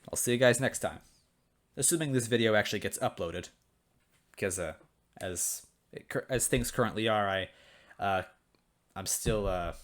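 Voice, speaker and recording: A man reading a story aloud as an amateur host, with casual, self-deprecating asides. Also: fairly low pitch.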